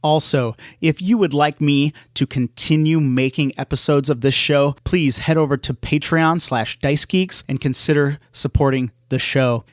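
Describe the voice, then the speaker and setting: A man's voice: a little high, steady tone, normal speed, hardly any pauses, no echo, clean studio sound. A man hosting a podcast, talking casually in an affable, agreeable way. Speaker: podcast host. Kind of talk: casual interview chat.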